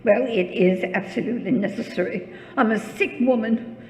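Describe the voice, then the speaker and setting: A woman's voice: a little high, normal speed, a little mellow, natural voice. A woman playing a frightened, imperious, self-pitying invalid in a radio thriller, stammering and fretful in melodramatic 1940s style. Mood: demanding, agitated. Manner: stammering, breathless, fretful and demanding. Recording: echoey hall with long reverb.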